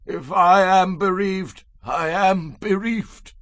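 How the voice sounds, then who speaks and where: An actor playing a grieving, elderly Hebrew patriarch in a dramatized scripture reading, mournful and emphatic in manner. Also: male voice, high voice, slow pace, fairly bright tone, no room sound. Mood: resigned. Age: older. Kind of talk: dramatized scripture audio acting.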